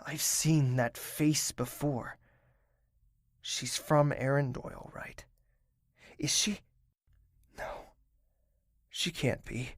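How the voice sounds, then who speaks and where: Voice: medium pitch, steady tone, very slow, long pauses, no room sound, clean studio sound. A performer voicing a troubled young royal in an anxious, self-doubting inner monologue. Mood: conflicted. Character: troubled royal young woman torn between trust and doubt. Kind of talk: audio drama inner monologue.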